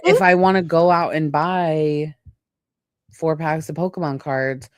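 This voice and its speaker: A woman's voice: low-pitched, steady tone, unhurried, clean tone, dry recording, studio quality. A woman in her late thirties chatting casually as a podcast co-host, rambling and acting out imagined quotes. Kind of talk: casual personal chat.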